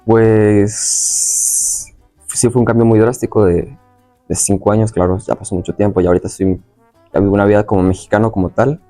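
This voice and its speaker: A man's voice: lowish voice, fairly even intonation, moderate pace, warm tone, faint music behind. A young man from Venezuela answering as an interview guest, casually recalling his experiences in a slightly hesitant, circling way. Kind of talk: casual personal recollection.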